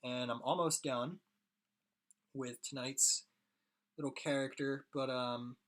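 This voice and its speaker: Hoarse young man chatting on a live stream. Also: medium pitch, fairly even intonation, slow, a bit bright. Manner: rambling, chatty, talks to chat viewers. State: hoarse, losing his voice. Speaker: streaming artist. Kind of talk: casual live-stream chat while drawing.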